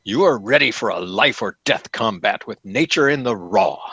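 An actor playing a fawning, pompous store salesman in an old-time radio comedy, with effusive, theatrical flattery. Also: lowish voice, moderate pace, breathy edge, dry recording, highs cut off, heavily acted. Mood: grand sales pitch.

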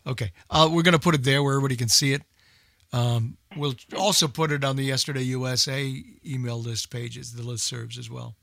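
A man's voice: medium pitch, steady tone, a little fast, creaky, fairly bright tone, dry recording, studio quality. A man hosting a radio show, giving casual, rambling unscripted announcements in an informal way.

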